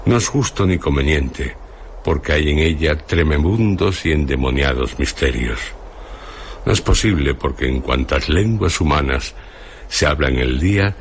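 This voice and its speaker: An actor playing an ancient, venerable hermit sage near death, speaking solemn, grandiloquent Castilian Spanish in a radio drama. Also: male voice, a little hiss or hum. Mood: grave, stern. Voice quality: deep, husky. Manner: slow, grandiloquent, declamatory. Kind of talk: Spanish radio theatre acting.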